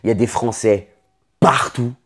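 Casual young Frenchman with a lowish voice. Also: steady tone, moderate pace, fairly bright tone, breathy edge. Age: late 20s. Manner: informal, franglais slang mixed in. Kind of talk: casual chat about career and personal life.

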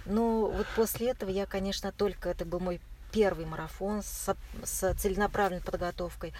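A woman recounting her experiences as an interview guest in casual, halting speech, often searching for words. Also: mid-range voice, steady tone, a little mellow. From Russia. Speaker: interview guest, marathon runner. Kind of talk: casual spoken recollection. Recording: clear background noise.

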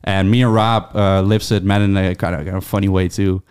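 A man's voice: low voice, level tone, a little fast, a little mellow, clean tone. A man in his thirties with a Dutch accent, chatting casually as a podcast guest, rambling with fillers and restarts. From Netherlands. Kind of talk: casual interview chat.

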